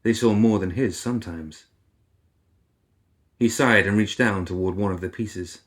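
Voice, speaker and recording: A man reading a fiction story aloud as an expressive audiobook narrator. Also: on the low side, a little slow. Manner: expressive, takes on characters' inner voices.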